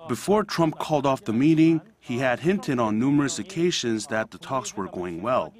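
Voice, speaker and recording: A man speaking as an interviewed expert, explaining in an analytical, even manner. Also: medium pitch, normal speed. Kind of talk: news interview soundbite.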